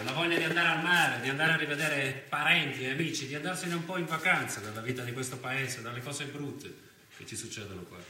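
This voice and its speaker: A man's voice: medium pitch, sharp tone. An actor playing a weary, haunted male driver, telling his story dramatically in a theatrical monologue. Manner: dramatic, narrating in the present tense. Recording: roomy, distant mic with faint noise.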